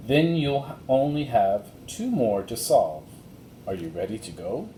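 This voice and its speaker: A man voicing a kindly, mysterious enchantress librarian in a storybook, in a calm, measured manner. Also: mid-range voice, reverberant, a little hiss or hum. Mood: instructing.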